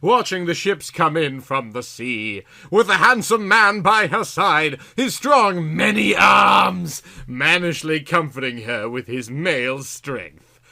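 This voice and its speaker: Animated, bright-voiced man narrating theatrically. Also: on the high side, slow pace, gravelly. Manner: theatrical, exaggerated delivery. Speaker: narrator reading a story aloud. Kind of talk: melodramatic comic audiobook narration.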